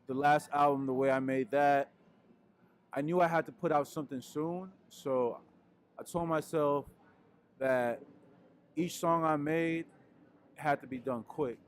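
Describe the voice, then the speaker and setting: A young man from Peru, a musician answering interview questions in a relaxed, rambling way with lots of fillers, speaking into a handheld mic indoors. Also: a little high, unhurried, pauses often. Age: young adult. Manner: laid-back, rambling, frequent fillers. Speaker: musician guest. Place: indoors in front of a wooden wall.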